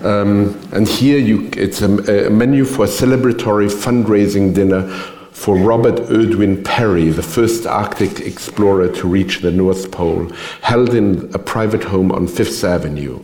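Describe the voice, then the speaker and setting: A man hosting an onstage interview who briskly puts questions to his guest and presents pictures. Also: fairly low pitch, dark tone, a little hiss or hum. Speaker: interviewer and host. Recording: echoey hall.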